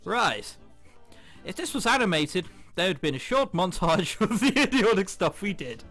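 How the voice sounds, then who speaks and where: A man with a bright, fairly high voice reads a visual novel aloud for a gaming video. His delivery swings around in pitch, slipping between narration and jokey casual asides. Soft game music plays behind him. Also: big pitch swings, moderate pace. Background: game background music.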